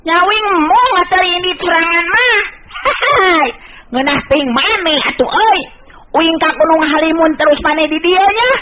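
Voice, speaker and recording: A crude, cackling rogue from an old Sundanese radio drama. The voice is extremely high and lively, teasing and coarse. The old tape is muffled and clearly noisy. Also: male voice, a little slow. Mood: grumbling, mocking. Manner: mocking, coarse colloquial talk.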